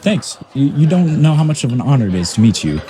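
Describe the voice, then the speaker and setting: Guy acting as a starstruck young science fan, audio drama, hesitant but keen, soft mellow tone. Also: mid-range voice, sing-song, fast, some chatter behind, lightly acted. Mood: admiring, nervous. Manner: hesitant, eager, admiring. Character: eager, deferential young male scientist and fan. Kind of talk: naturalistic audio drama dialogue.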